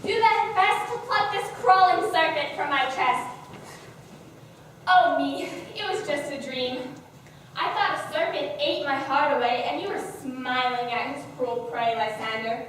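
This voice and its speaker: A woman's voice: high voice, measured pace, a bit bright, slight background noise. A woman playing a devoted young Athenian woman in a stage play, calling out earnestly, recorded from far off in an echoey hall. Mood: frightened. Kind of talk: amateur stage play acting.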